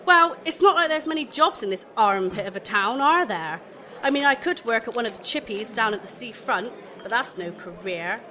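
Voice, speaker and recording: A woman's voice: on the high side, animated, normal speed, bright, forceful tone, some chatter behind, a little hiss or hum. A woman acting in a radio play comedy as a chatty, aggrieved young shop assistant, colloquial, gossipy and blunt. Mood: indignant.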